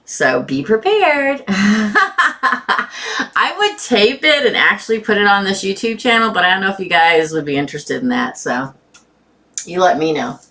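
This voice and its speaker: Bright-voiced woman with a sore throat. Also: mid-range voice, sing-song, unhurried. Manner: chatty, addresses viewers by name. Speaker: tarot reader hosting a live stream. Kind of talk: casual live-stream chat.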